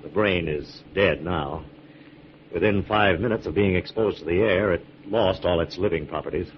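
An actor playing a calm, gallant scientist crime-fighter in 1940s radio drama style. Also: male voice, deep voice, moderate pace, close to an everyday voice. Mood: earnest, explanatory. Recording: muffled, noisy 1940s radio recording.

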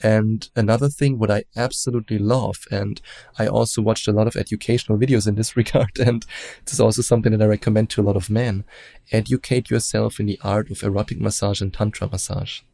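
German guy coaching couples, low gravelly voice, dry close sound. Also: level tone, dark tone, dry recording. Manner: explanatory, measured, hedges with "let's say". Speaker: relationship coach. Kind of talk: interview guest giving advice.

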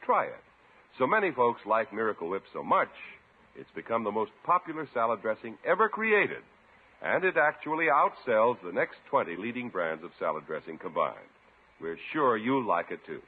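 A man's voice: on the low side, sing-song, moderate pace, husky, phone-quality sound. An American man working as a 1950s radio announcer, delivering emphatic sales talk. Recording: band-limited old radio recording.

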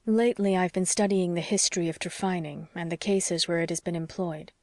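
A woman voicing an earnest, stubborn young male doctor who reasons in a measured, formal way, as in an audiobook. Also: on the low side, steady tone, darkish tone, dry recording, clean studio sound, lightly acted. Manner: measured, formal, slightly stiff. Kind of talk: audiobook character dialogue.

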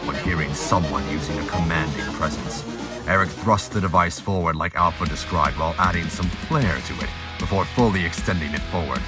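A man's voice: very deep voice, fairly quick, over loud music. A man reading scripted dramatic narration as the narrator of an audio drama.

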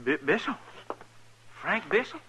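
An actor playing a nervous, excitable town barber who stammers, in a 1950s radio western. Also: male voice, high voice, unhurried, exaggerated voice. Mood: flustered, scared. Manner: stammering, flustered. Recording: noisy old 1950s radio broadcast.

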